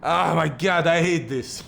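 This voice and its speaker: Host: man, voice sits a bit high, casual and halting, reads out viewer questions. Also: gravelly, a bit bright, slight background noise. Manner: broken English phrasing, halting. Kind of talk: casual live chat.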